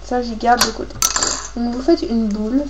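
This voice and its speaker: A woman with a cold gives a casual, halting hands-on craft tutorial, thinking aloud as she works. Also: fairly high pitch, steady tone, dull audio. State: has a cold, coughing. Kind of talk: casual hands-on tutorial, talking while working. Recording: clearly noisy homemade recording with clicks.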